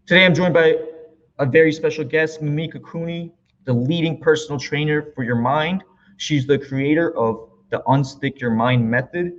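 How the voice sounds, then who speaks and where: A man hosting an interview show, his voice at an ordinary pitch. He often stumbles over his words and repeats himself as he asks his questions. The audio sounds muffled and lo-fi. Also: normal speed. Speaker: show host.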